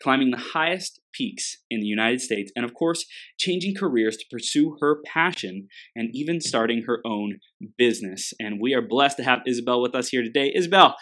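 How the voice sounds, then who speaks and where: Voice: medium pitch, fairly bright tone. Brief: upbeat live-show host, affirming, leads the interview with gushing praise. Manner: enthusiastic, affirming, many fillers.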